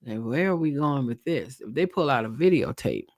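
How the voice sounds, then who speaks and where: A man's voice: mid-range voice, animated, moderate pace, dry recording, clean studio sound. A man hosting a live stream, chatting casually and thinking aloud with a congested, nasal voice. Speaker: livestream host. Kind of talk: live commentary on a court trial.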